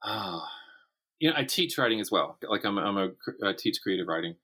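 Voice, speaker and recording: Man, deep pitch, bright forward tone, casual guest chat, stop-start storytelling. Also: lively intonation, brisk, slightly rough. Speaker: podcast guest, writer and writing teacher.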